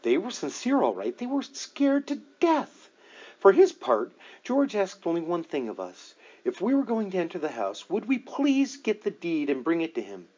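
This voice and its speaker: A man narrating a book aloud in a deliberate, measured storytelling manner with dramatic emphasis. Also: high voice, huge pitch swings, darkish tone, dull audio. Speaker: audiobook reader.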